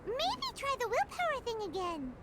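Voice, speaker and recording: A woman's voice: very high-pitched, huge pitch swings, normal speed, very noisy, heavily acted. A woman voicing a tiny, chirpy female fairy companion in a video game who talks about herself in the third person. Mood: chatty. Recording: game audio with loud music behind. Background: loud music.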